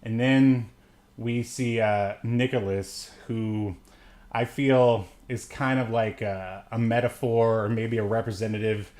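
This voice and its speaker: A man's voice: mid-range voice, fairly even intonation, takes it slowly, darkish tone. A man co-hosting a podcast, chatting casually about films in a rambling, joking way. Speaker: podcast co-host. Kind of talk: casual movie review chat.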